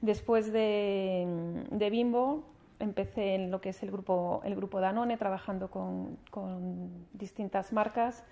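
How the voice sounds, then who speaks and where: Woman from spain at a panel table mic in a big hall, gravelly dark voice, talks pretty flat, lots of restarts. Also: mid-range voice, takes it slowly. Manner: earnest, with frequent restarts and fillers; gestures with her hands as she speaks. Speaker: honored alumna speaker. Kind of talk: formal speech at a university ceremony. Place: large formal hall, seated at a panel table. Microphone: table microphone.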